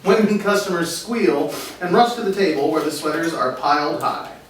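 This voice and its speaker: A man narrates stage directions from a script in a fairly high voice. He is distant from the microphone, in a very echoey room. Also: normal speed. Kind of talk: scripted narration at a table read. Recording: very echoey room, distant mic, faint hiss.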